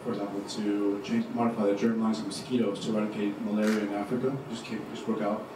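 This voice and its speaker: A young man stands in the audience and puts a question to the panel, hesitant and halting, with many fillers. His voice sits on the low side and sounds far from the mic. The hall around him is roomy and noisy. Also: level tone. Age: young adult. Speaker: student audience member asking a question. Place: large lecture hall, audience. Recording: roomy hall, distant mic, room noise.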